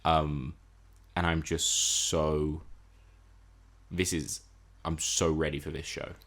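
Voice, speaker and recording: Low-voiced man co-hosting a podcast. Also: level tone, slow, fairly bright tone. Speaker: podcast co-host. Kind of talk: casual film and TV discussion.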